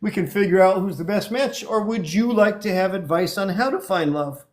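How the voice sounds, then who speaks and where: An energetic man hosting a live online dating show. His voice sits high for a man, and he talks in an upbeat, encouraging, chatty way as he greets viewers and interviews callers. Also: normal speed. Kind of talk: live-stream chat, reading viewer comments.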